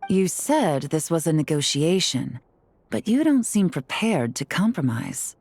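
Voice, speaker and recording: An actress playing a shrewd, confident female intelligence agent in a video game, assured and deliberate. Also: deep voice, sing-song, heavy background noise. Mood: shrewd, challenging. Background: background music.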